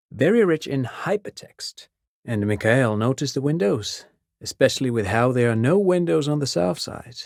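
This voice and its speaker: A man's voice: fairly high pitch, dry recording, clean studio sound. An actor playing a pedantic, absent-minded amateur scholar in a video game, an enthusiastic father who explains things eagerly and wanders into digressions. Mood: eager, friendly. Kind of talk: video game character dialogue.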